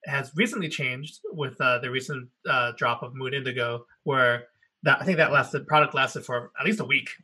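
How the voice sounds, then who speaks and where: A man's voice: medium pitch, steady tone, moderate pace, pushed and bright, husky. A man co-hosting a casual talk show, rambling and correcting himself as he tells a story. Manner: rambling, self-correcting, laughs often. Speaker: podcast co-host. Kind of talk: casual chat.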